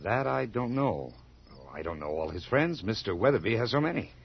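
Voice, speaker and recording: Mad, guilty servant. Low voice with big swings, theatrical horror radio delivery, dull recording. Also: male voice, very animated, a little fast, gravelly, husky, heavily acted. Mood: nervous evasive. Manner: theatrical, stammering, swinging from hushed gloating to over-eager politeness. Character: mad, guilt-ridden hired man hiding a murder. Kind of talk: 1980s horror radio drama acting. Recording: dull, lightly noisy old radio recording.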